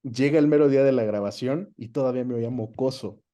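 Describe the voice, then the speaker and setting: A Mexican man with a soft, mellow timbre and an average pitch speaks as an interview guest. He tells anecdotes casually and expressively, acting out bits of dialogue as he goes. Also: fast. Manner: animated anecdote-telling, acts out quoted dialogue. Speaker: guest, voice actor and dubbing director.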